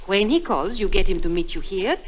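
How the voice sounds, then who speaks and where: A woman's voice: mid-range voice, sing-song, fairly quick, lightly acted, rough recording. Woman acting swooping, scheming femme fatale. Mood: urgent, scheming. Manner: pleading, dramatic, careful phrasing. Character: beautiful, scheming foreign femme fatale, pleading and seductive. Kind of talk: 1950s radio drama acting.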